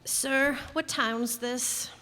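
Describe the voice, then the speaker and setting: A woman playing a gentle, resigned twelve-year-old boy with an old soul in a live radio play. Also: high-pitched, drawn-out words, exaggerated voice. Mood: quietly hopeful. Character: wistful twelve-year-old boy who is secretly over forty. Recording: echoey hall, stage pickup.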